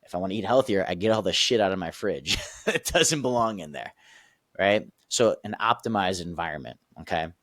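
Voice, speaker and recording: Low-voiced man coaching with emphatic check-ins. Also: lively intonation, brisk, fairly bright tone, no room sound, clean studio sound. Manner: emphatic, checks in with "okay?" and "right?", laughs easily. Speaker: podcast guest, accountability coach. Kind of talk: casual podcast explanation.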